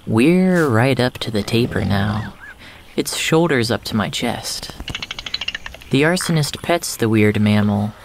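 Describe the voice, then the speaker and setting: A narrator reading surreal literary fiction in a measured, first-person storytelling style with dramatic pauses. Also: medium pitch, animated, background noise. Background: music.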